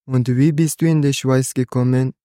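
Flat, smooth-voiced man. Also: medium pitch, dry recording, studio quality. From Afghanistan. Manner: measured, plain short sentences. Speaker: student guest. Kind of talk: school podcast interview.

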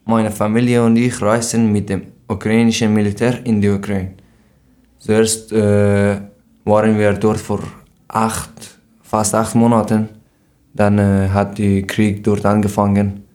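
Flat-voiced teenage boy. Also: lowish voice. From Afghanistan. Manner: halting, frequent fillers. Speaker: guest. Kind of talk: casual podcast interview.

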